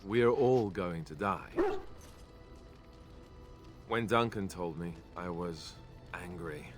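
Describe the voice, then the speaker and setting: Young male game warrior, earnest and wry, lowish voice, chatty delivery, music underneath. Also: slow pace. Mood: somber, reflective. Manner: wry, conversational, self-deprecating. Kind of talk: video game dialogue acting. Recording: music behind the voice.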